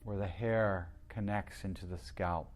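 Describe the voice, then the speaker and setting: A man leading a guided meditation as a teacher, speaking in a soothing, unhurried way with long pauses. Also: deep voice, fairly even intonation.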